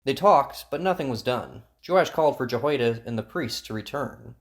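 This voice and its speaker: A man's voice: mid-range voice, animated, normal speed. A man giving scripted narration as the storyteller of an audio drama. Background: music, guitar.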